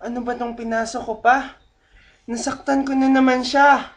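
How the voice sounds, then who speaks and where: A woman with a Filipino accent voicing a seriously ill young man who speaks softly and earnestly, at times gently teasing. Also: high-pitched, level tone, normal speed, natural voice. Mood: anguished. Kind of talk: amateur audio drama acting.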